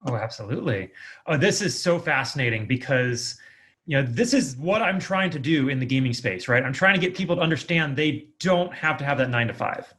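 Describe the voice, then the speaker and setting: A man's voice: mid-range voice, expressive, brisk, pushed and bright. A man hosting a casual interview podcast, asking questions in an eager, affirming way.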